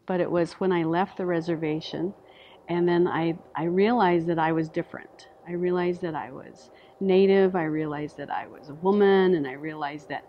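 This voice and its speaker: Middle-aged woman from the Navajo Nation, lowish voice, thoughtful and measured, slightly dull audio. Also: steady tone, a little hiss or hum. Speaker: interviewee, business owner. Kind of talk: personal testimony. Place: indoor office.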